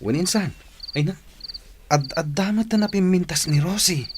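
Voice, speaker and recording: A man's voice: sits high, normal speed, noisy background, close to an everyday voice. A man playing a callous, careless young womanizer in a radio drama, with an Ilocano accent. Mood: dismissive.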